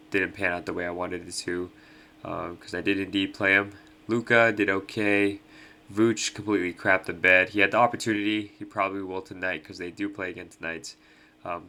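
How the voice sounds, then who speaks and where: A man's voice: deep voice, vocal fry, pushed and bright. A young man, nasal from allergies, casually hosting a fantasy basketball picks breakdown with lots of ums. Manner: laid-back, many ums and uhs. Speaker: fantasy sports host.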